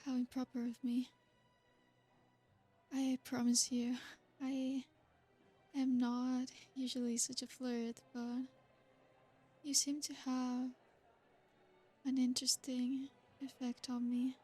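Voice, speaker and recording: Female performer as an ancient noble vampire, seductive and possessive. High, even delivery, slow and formal. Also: slightly rough, faint music behind, exaggerated voice. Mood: flirtatious. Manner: soft, formal and flattering. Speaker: ASMR roleplay performer. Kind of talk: ASMR roleplay.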